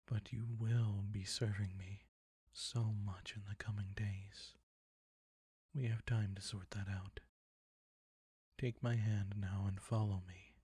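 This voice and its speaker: A very husky, breathy vampire count, acted by a man, speaks slowly and deliberately, close and dry on the mic. He is seductive and predatory, silkily teasing and possessive, often near a whisper, as in an intimate audio roleplay. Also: fairly low pitch, little pitch movement, dark tone, dry recording, exaggerated voice. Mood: gloating, commanding. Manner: slow, deliberate, silky teasing, commanding.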